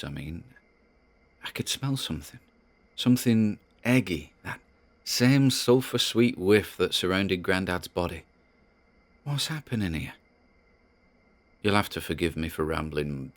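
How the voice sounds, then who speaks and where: An actor playing a spooked man who rambles haltingly and nervously as he records private audio logs in an audio drama. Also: low-pitched, lively intonation. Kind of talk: dramatic audio-log monologue.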